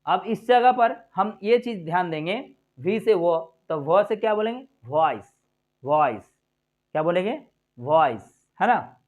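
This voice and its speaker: Slow man drilling sounds. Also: sits high, animated, narrow, tinny audio. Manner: slow and drilling, repeats each word many times; adds a coaxing tag after points. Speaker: English teacher. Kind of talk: English pronunciation lesson.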